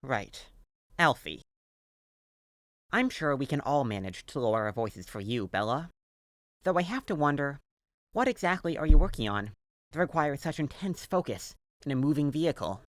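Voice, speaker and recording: A woman playing a composed young team leader in a student audio drama, measured, peacemaking and faintly condescending. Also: sits low, sing-song, no room sound. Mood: mildly scolding.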